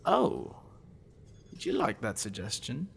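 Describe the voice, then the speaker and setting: Man acting a gravelly, sadistic, teasing professor. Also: mid-range voice, wildly swooping pitch, fairly bright tone, slight background noise, heavily acted. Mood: teasing, clinical. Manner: condescending, teasing, deliberate, dropping into breathy whispers. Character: possessive sadistic male professor, obsessive, teasing, smugly intellectual. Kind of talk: ASMR roleplay, addressing the listener intimately.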